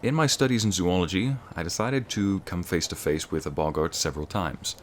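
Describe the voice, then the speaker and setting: A man with an American accent playing an encouraging, scholarly wizard school professor in an ASMR roleplay, lecturing students in a measured, formal way. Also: low voice, slight background noise, natural voice. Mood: earnest, grave. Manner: measured, formal, teacherly, addressing students directly.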